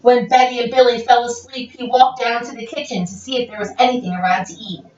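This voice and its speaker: A woman reading a children's picture book aloud in a theatrical, expressive, playful way. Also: fairly high pitch, fast talker. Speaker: read-aloud storyteller. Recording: roomy, dull lo-fi, distant mic.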